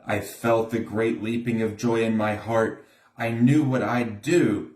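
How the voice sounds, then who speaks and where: A man's voice: on the low side, fairly even intonation, unhurried, a little mellow. A man reading a rhyming children's storybook aloud as narrator. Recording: roomy, echoing room sound.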